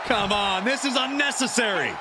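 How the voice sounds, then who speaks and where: A man's voice: extremely high pitch, normal speed, over a noisy crowd. A man acting as a snide, skeptical wrestling colour commentator in a video game, with a sarcastic, needling delivery. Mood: alarmed. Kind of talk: sports commentary. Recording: very noisy arena background.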